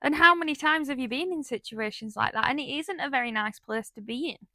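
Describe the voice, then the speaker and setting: A woman's voice: high-pitched, fairly quick, bright, forceful tone, no room sound. A woman co-hosting a podcast, chatting casually and drawing her partner in with tag questions. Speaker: podcast co-host. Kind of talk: casual chat.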